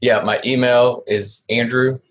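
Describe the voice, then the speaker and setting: A man's voice: mid-range voice, flat delivery, a little slow. A man from South Carolina, a guest on a podcast, speaking casually and a little hesitantly, with many fillers. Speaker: guest, real estate investor. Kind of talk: casual interview.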